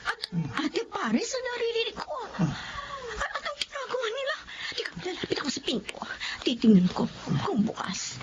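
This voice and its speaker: High, swooping young woman, acted by a woman, shocked and emotional. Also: huge pitch swings, moderate pace, highs cut off, exaggerated voice. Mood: curious, hushed. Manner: emotive, breathy exclamations, Tagalog with some English. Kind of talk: Filipino radio-style audio drama acting. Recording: clear background noise.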